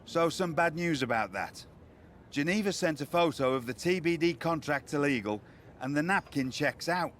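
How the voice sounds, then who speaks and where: An actor playing a resigned, matter-of-fact wrestling boss in video game dialogue. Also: male voice, on the high side, animated, normal speed, fairly bright tone, a little hiss or hum. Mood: resigned, apologetic. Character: resigned male wrestling boss breaking bad news.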